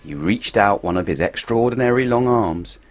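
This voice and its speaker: A man reading a picture book aloud to children, playful and expressive, swinging his voice up and down for effect. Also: moderate pace. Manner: expressive, playful storytelling with big swings in pitch and loudness.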